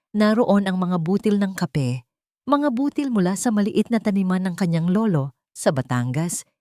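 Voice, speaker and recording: A woman narrator reading a scripted, sentimental story in a measured, dramatic storytelling manner. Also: lowish voice, darkish tone, dry recording, clean studio sound.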